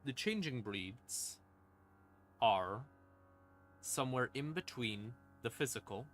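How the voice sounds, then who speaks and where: Slow-paced man with a bright, forceful voice. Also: mid-range voice, lively intonation, slight background noise. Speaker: streamer explaining game lore. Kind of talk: informal lore explainer, storytelling to stream viewers. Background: eerie ambient music.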